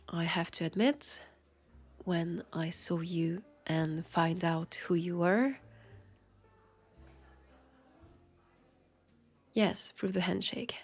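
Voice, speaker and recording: Actress for an immortal female vampire cop: French accent, guarded and world-weary, gravelly hushed voice, unhurried confessional audio roleplay. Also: on the low side. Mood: guarded, frank. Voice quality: creaky, breathy. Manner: halting, hesitant, confiding, slipping into whispers. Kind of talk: intimate roleplay audio drama monologue.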